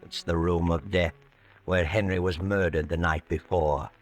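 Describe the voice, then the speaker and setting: An actor playing a sinister, taunting narrator in an old-time radio suspense drama, mocking and ominous like a menacing inner voice. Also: male voice, sits low, fairly even intonation, moderate pace, soft background music, natural voice.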